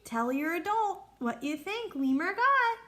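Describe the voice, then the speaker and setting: A woman's voice: extremely high pitch, sing-song, measured pace, pushed and bright. A woman reading a picture book aloud to children like a teacher, explaining and asking the listeners questions. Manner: instructive, asks listeners questions.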